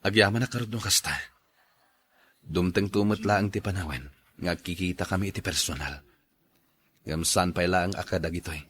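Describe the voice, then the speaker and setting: A man's voice: low-pitched, moderate pace, long pauses, fairly bright tone, slight background noise. A man playing an unhappy young husband and father in an Ilocano radio drama, earnest and sorrowful. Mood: wistful.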